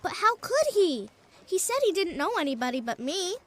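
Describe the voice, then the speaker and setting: Woman playing a very high, eager little boy. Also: very animated, moderate pace. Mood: pleading. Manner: pleading, innocent. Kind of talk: old-time radio drama acting.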